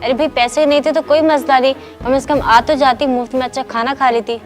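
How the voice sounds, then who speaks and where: A woman's voice: high-pitched, steady tone, brisk, soft background music, lightly acted. A woman playing a boastful, meddling young newlywed friend with a blunt, needling manner. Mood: reproachful. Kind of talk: acted moral-story drama dialogue.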